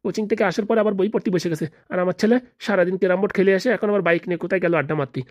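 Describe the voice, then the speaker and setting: A woman voicing a hospitable, grumbling middle-aged landlord in a comedy cartoon, effusive and quick to complain. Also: lowish voice, no echo, highs cut off, close to an everyday voice. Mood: admiring, grumbling.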